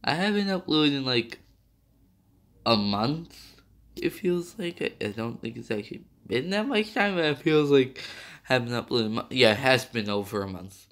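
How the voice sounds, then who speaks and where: A man's voice: medium pitch, very animated, normal speed, a bit bright. A young man reviewing a video game in a rambling, casual way, with many fillers and self-corrections, still slightly nasal from being sick.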